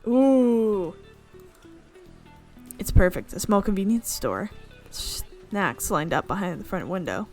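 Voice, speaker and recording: A woman's voice: mid-range voice, animated, slow, pauses often, faint music behind. A woman hosting a gaming video, reading a visual novel's narration aloud and often stopping to correct her slips. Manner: reads narration with frequent slips and self-corrections.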